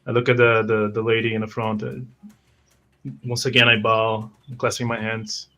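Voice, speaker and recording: A man improvising as a tabletop player, halting and earnest, with formal wording. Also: lowish voice, flat delivery, pushed and bright. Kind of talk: improvised roleplay, describing his character's actions.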